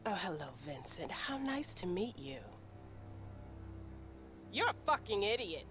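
A woman's voice: mid-range voice, big pitch swings, unhurried, over music. A woman playing a hostile, foul-mouthed arms dealer in a video game cutscene, suspicious, sarcastic and confrontational. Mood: sarcastic contempt.

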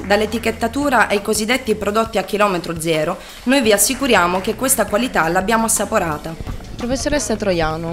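A woman around her thirties working as a reporter, delivering scripted news narration and interview questions. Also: medium pitch. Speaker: reporter and presenter. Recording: prominent music bed. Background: music.

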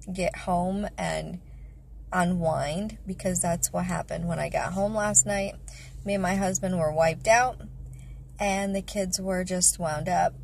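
A woman's voice: lowish voice, vocal fry, a little mellow. Woman in her thirties, casual rambling car vlog, phone at arm's length, faint car hum. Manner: rambling, chatty, frequent fillers. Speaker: vlogger, mother of five. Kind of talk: casual faith-based car chat. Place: parked car, indoors of vehicle. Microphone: phone camera at arm's length.

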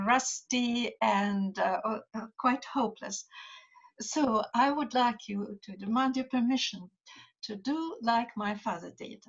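An older woman with a Russian accent, a writer and translator speaking in a video call, hesitating often as she searches for English words. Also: medium pitch. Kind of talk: casual conversation over video call. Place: home study with bookshelves. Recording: dull lo-fi video call audio.

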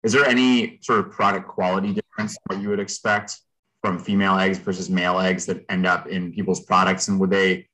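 A man in his thirties with a deep but bright, forward voice. He hosts an informal interview on a video call and puts his questions in a casual, conversational way. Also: level tone, a little fast, poor audio. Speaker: host questioning a presenter.

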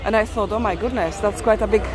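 A woman's voice: medium pitch, fast talker, music in the background. A woman travel vlogger giving casual, enthusiastic walking-tour commentary outdoors. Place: outdoors on a crowded market street. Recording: outdoor street with heavy crowd and traffic. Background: busy crowd, vehicles.

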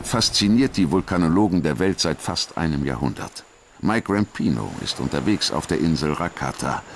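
A man's voice: sits low, background noise. A man reading scripted documentary narration as the narrator.

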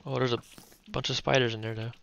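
A young man giving relaxed, chatty gameplay commentary, thinking aloud as he plays. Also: mid-range voice, fast, creaky, lo-fi sound, a little hiss or hum. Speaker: gaming YouTuber.

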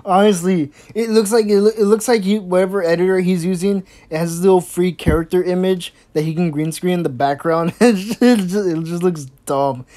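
A woman's voice: moderate pace, hardly any pauses, dark tone. Sarcastic swearing woman commentator. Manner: sarcastic, swears a lot, mimics in squeaky voices. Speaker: host. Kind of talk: mocking reaction commentary.

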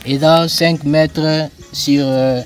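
A young man answers questions outdoors beside a corrugated metal shed. His voice sits a little higher than usual, and soft music plays behind him. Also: fairly even intonation, drawn-out words. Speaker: worker. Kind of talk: interview answers.

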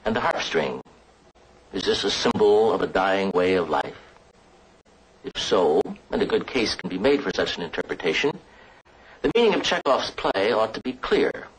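An older man presenting an educational film in a deliberate, scripted lecture with dramatic emphasis. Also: deep voice, animated, slight background noise, low-quality recording. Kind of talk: measured scripted lecture to camera.

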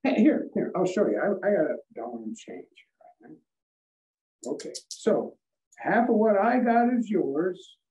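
A man's voice: high-pitched, expressive, unhurried, warm tone, recorded from a distance. Man acting a sly older radio husband, roomy sound. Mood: explaining, fumbling. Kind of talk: 1940s radio comedy acting. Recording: roomy, muffled, off the mic.

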